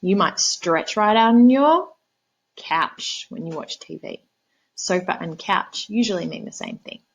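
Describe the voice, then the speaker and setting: A female primary teacher with an Australian accent reads a picture book aloud. She pauses to explain words and invite guesses from young listeners. Her pitch is very animated, with a husky touch to the tone. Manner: prompting young listeners with questions. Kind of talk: storybook read-aloud with explanations for young children.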